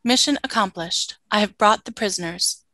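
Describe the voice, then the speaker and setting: A woman's voice: mid-range voice, moderate pace, sharp tone, no echo, close to an everyday voice. A woman playing a stern, obedient female alien soldier in a radio play, speaking in formal, clipped, literal statements. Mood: dutiful.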